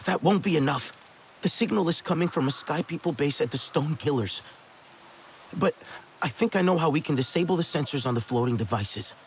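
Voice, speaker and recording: Young alien hunter, creaky and earnest. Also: male voice, on the high side, expressive, brisk, a little hiss or hum. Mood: earnest, determined. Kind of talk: dramatic video game acting.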